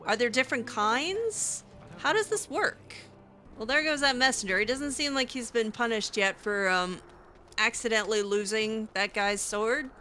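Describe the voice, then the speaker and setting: A woman's voice: fairly high pitch, moderate pace, pushed and bright, slight background noise. A woman playing through a video game in character, chatting casually in a hesitant, wry way. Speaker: gameplay roleplayer voicing her character.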